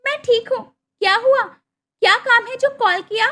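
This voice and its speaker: A woman's voice: extremely high pitch, a little slow, pushed and bright, voice pushed up, heavily acted. A woman voicing a jealous, resentful young sister-in-law in a cartoon story, curt and scolding. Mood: curt, annoyed. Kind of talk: Hindi cartoon moral story acting.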